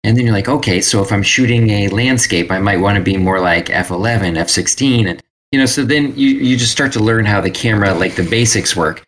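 A man's voice: lowish voice, fairly quick, few pauses, a bit bright, poor audio. A man chatting casually as an interview guest, informal and given to acting out bits of dialogue. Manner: informal, acts out remembered dialogue with "like". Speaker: guest, self-published creator and photographer. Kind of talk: casual chat.

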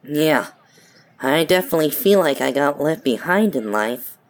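A woman's voice: low-pitched, animated, moderate pace. A woman playing a weary, guarded, sceptical young woman in an audio drama, with a dry, curt, questioning manner. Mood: weary, reserved.

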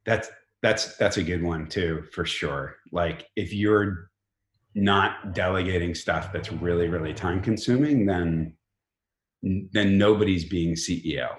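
A middle-aged man talking casually as an interview guest, informal and halting, often rephrasing himself as he goes. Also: sits low, echoey room. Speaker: company chief executive, podcast guest.

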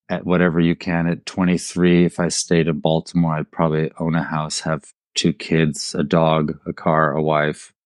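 A man's voice: very deep voice, no room sound. A middle-aged man who grew up in southern Germany, talking informally as an artist in an interview and telling stories with bits of quoted dialogue. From raised in southern Germany. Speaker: installation artist, podcast guest. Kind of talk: casual conversational storytelling.

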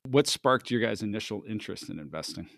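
A middle-aged man leads the interview in a measured, professional way. His voice sits on the low side, and the recording is dry and close. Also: animated, creaky, a bit bright, dry recording. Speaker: analyst coach and interviewer. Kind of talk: interview hosting.

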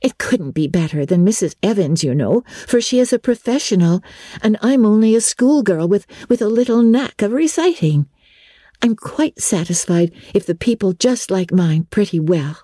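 An earnest schoolgirl of sixteen, acted out by a woman reader, pitched high and full of dreamy conviction. She talks in a breathless, emphatic way, with a soft tone and a close, dry storybook recording. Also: lively intonation, normal speed, no room sound, close to an everyday voice. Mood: modest, earnest.

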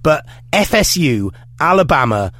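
A man's voice: fairly high pitch, big pitch swings, unhurried, a bit bright, no room sound. A man hosting a casual sports podcast, bunged up with a head cold and rambling through his points. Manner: rambling, with restarts and fillers. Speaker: podcast host.

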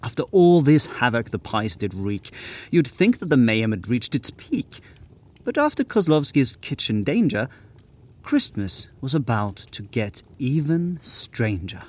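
A man's voice: medium pitch, very animated, measured pace, darkish tone. A man narrating a scripted comic tale in rhyme in a dramatic storytelling manner. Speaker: co-narrator and writer.

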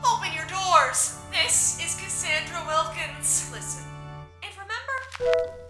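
A woman playing a propaganda machine that speaks as a dead woman leader, giving measured, commanding announcements in an echoey broadcast. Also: very high voice, lively intonation, full character voice. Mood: solemn, commanding. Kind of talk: public announcement. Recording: echoey broadcast over loud music.

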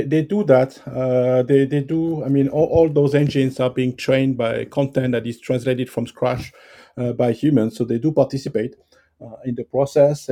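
A man with a French accent answering interview questions, pausing often with fillers and restarts. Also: mid-range voice, mellow. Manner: hesitant, many fillers and restarts. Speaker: guest expert.

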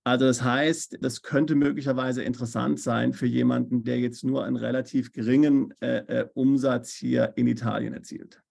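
A man answering interview questions as a tax expert. His voice is gravelly and a little husky, at a middle pitch. He explains methodically, leaning on fillers and little checking questions. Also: normal speed, few pauses. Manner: steady explainer, tag questions, many fillers. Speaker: tax advisor. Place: based in Italy.

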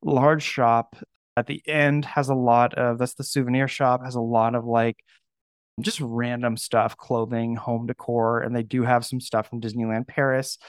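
A man's voice: mid-range voice, little pitch movement, moderate pace, clear, resonant tone, dry recording, clean studio sound. A man hosting a podcast in casual chat, joking and self-deprecating as he talks. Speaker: podcast host.

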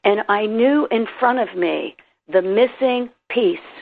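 A Southern woman with a South Carolina and Tennessee accent tells her story with drawn-out emphasis. Her voice has a heavy gravelly fry. It comes through a thin, tinny phone line. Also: mid-range voice. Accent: Southern American, South Carolina and Tennessee. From American South. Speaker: author guest.

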